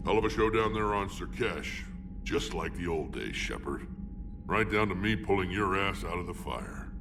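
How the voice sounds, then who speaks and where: A battle-hardened alien warlord from a video game, with a very low, gravel-filled growl. He is blunt, proud and sardonic. The sound is a little distant, over clear background ship noise. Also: lively intonation, moderate pace. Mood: teasing. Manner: blunt, sardonic, weighty delivery. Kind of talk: video game character acting.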